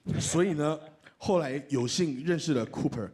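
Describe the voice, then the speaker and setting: A man's voice: fairly high pitch, normal speed, darkish tone. A man with a Taiwanese accent presenting awards on stage, joking as he announces the nominees. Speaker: awards presenter and radio host.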